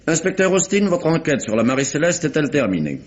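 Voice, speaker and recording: An actor playing a stern, formal British magistrate in a 1950s-style French radio mystery, measured and authoritative. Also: male voice, on the high side, big pitch swings, over loud music. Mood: probing, formal. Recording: dull, noisy old radio recording.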